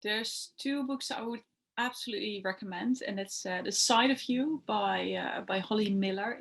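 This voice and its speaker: A woman's voice: medium pitch, a bit bright. A young woman whose first language is Dutch talks through her job in an interview. Her voice sits in a middle range, and she hesitates often, filling gaps with "uh" and repeating words before finishing her thought. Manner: hesitant, many "uh" fillers and restarts. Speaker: translation rights executive. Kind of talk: interview answers.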